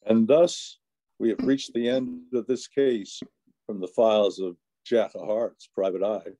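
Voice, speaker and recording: A man's voice: on the low side, fairly even intonation, a little slow, mellow, natural voice. A man playing the narrator of an old-time private eye radio serial, reading scripted narration. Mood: composed. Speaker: announcer and narrator.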